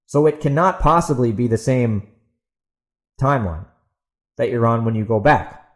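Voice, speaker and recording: A man's voice: medium pitch, normal speed. A man hosting a solo podcast, talking casually and emphatically with opinionated asides. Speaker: podcast host and author.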